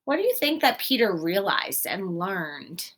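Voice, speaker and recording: A woman teacher reads a picture book aloud to young children in a medium-pitched voice. She narrates expressively, then explains and asks guiding questions as she goes. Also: big pitch swings, measured pace, a bit bright.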